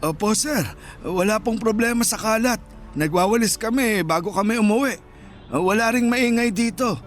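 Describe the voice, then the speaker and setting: An actor playing a shy, plaintive Filipino street vendor of sixty-five, speaking deferentially in a radio drama. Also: male voice, high-pitched, moderate pace, faint music behind, natural voice. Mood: polite. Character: mild, shy 65-year-old Filipino vendor husband.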